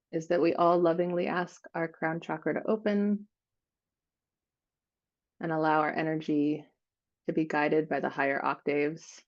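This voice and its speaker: Woman doing guided meditation, kinda low voice w lots of vocal fry, gentle n pausey, slightly muffled recording. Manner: gentle, unhurried phrasing with frequent pauses. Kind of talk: guided meditation, improvised visualization.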